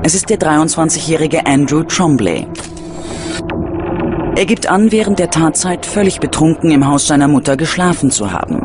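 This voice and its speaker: A man narrating a scripted true-crime documentary in a dramatic, measured storytelling manner. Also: fairly high pitch, lively intonation, background noise. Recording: loud music bed under narration. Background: loud music.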